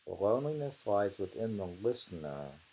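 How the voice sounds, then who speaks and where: A man reading poems aloud and commenting informally, musing and repeating lines deliberately. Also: lowish voice, very animated, mellow, poor audio. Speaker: reader of haiku poetry. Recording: noticeable hiss and background noise.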